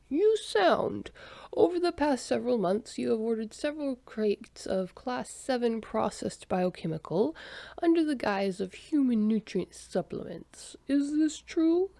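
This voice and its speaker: A woman voicing a methodical male alien official who questions slowly and carefully. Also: fairly high pitch, big pitch swings, vocal fry, mellow, dry recording, voice pushed up, exaggerated voice. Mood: probing. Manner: slow, careful, probing questions.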